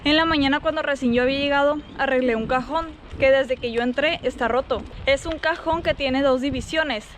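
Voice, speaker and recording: A woman's voice: sits high, fairly quick, a little hiss or hum. A young woman with a Mexican accent, telling stories from her week in a chatty, colloquial vlog style. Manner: chatty, colloquial, acts out reported dialogue. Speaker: vlogger. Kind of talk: casual vlog storytelling.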